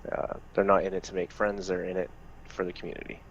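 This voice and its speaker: A man's voice: low voice, monotone, fast, dull audio, slight background noise. A man who heads a gaming community's staff, answering questions in a casual group chat in a plain, matter-of-fact way with lots of fillers.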